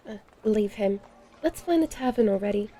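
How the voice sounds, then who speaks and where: A woman's voice: mid-range voice, lively intonation, normal speed, dark tone, natural voice. A woman playing a haughty, sardonic vampire lady of the Old West in a horror audio drama, with prim, dry, formal diction.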